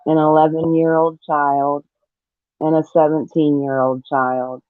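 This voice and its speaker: A woman arguing on a livestream panel in a deliberate, admonishing way. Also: low voice, level tone, no echo. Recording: dull, dry lo-fi audio.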